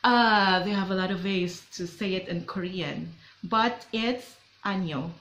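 A woman's voice: mid-range voice, normal speed, a bit bright, echoey room, poor audio. A young Filipino woman with a Filipino accent, chatting upbeat and playful in a casual vlog to camera in a small bedroom. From Philippines. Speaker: vlogger.

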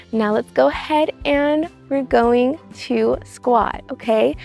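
A young woman hosting storytime for children, speaking in a lively, encouraging way straight to her young audience. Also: high-pitched. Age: young adult. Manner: encouraging, addresses children directly. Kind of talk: lively instruction and read-aloud for young children.

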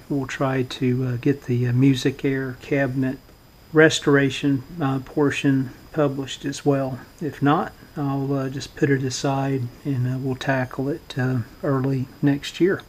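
A man hosts a repair demonstration at a workbench. His voice sits at a middle pitch and stays quite flat, with little melody. He talks in an unhurried, halting, matter-of-fact manner. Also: poor audio. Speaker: host demonstrating a vintage radio repair. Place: indoor workbench.

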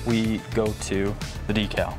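A man's voice: lowish voice, level tone, measured pace. A young man casually giving hands-on, step-by-step instructions indoors. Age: young adult. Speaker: equipment staffer giving a demonstration. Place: indoor equipment room. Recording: music under speech.

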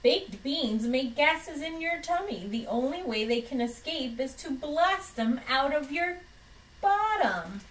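Woman acting storybook dog doctor, stern, lecturing, slow emphatic delivery, high pitch, distant recording. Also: lively intonation, fairly bright tone, heavily acted. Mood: instructive. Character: stern, exasperated know-it-all dog doctor. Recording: distant microphone in a room.

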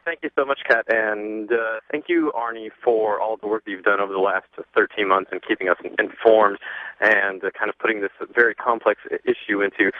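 Male caller, earnest and articulate yet halting, lowish voice on a thin phone line. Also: no room sound. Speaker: radio call-in listener. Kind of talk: prepared, formal call-in remarks. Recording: narrow phone line, dry.

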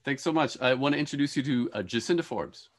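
A man's voice: mid-range voice, fast talker. A man in his forties moderating a panel over a video call, speaking in hesitant phrases with repeated words. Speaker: host and moderator, professor. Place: indoor room with shelves, video call.

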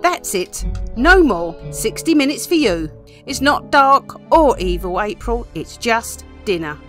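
A woman playing a lively, exasperated mother. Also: a little high, a little slow, faint music behind. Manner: motherly, gently scolding. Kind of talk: animated drama acting.

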